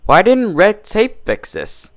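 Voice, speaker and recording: A man voicing a proper, official female cartoon pony mayor in a measured, formal manner. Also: sits high, huge pitch swings, gravelly, a bit bright, pitched above the performer's own voice, heavily acted. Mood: businesslike.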